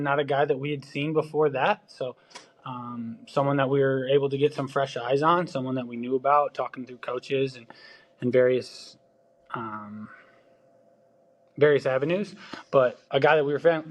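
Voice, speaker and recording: A man with a Wisconsin accent, a baseball scout talking through prospects in a casual podcast chat, loose and full of ums and restarts. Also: medium pitch. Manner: loose, filler-heavy talk with frequent restarts.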